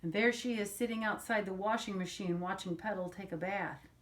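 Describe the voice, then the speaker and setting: An older woman reading a children's picture book aloud as a storyteller, pausing to explain things to young listeners. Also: medium pitch.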